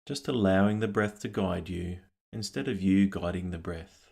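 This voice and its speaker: A man leading a guided meditation, speaking calmly and gently in unhurried phrases with long pauses. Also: deep voice, creaky, breathy edge. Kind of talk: guided meditation, scripted narration.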